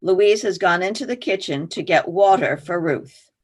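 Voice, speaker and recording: A woman's voice: on the low side, moderate pace, husky. A woman narrator reading stage directions for a play in scripted narration.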